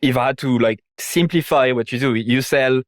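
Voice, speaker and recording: A man's voice: mid-range voice, moderate pace, no echo. Blunt male interviewer, dry close sound. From Ireland or living there. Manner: blunt, sweary, informal. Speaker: interviewer, host and marketing consultant. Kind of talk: casual probing interview.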